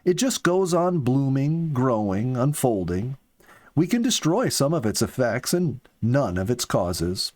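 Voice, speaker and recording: A man reading the part of an earnest, philosophical young soldier in an audiobook, with slow, deliberate phrasing. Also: mid-range voice, big pitch swings, darkish tone, pitched above the performer's own voice. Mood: earnest, hopeful. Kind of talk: audiobook character dialogue.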